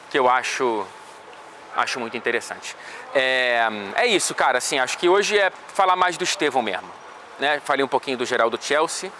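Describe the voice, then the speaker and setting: A man's voice: mid-range voice, pushed and bright, slight background noise. A man with a Brazilian accent casually breaking down a football match for his vlog, chatty and loose with slangy asides. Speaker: football reporter.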